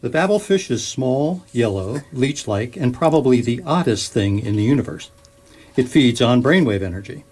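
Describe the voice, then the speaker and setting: A man's voice: mid-range voice, expressive, moderate pace, darkish tone, a little hiss or hum, lightly acted. A man playing the wry, learned narrator of an electronic guidebook, explaining drily in a scripted comic sci-fi drama. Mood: wry, explanatory.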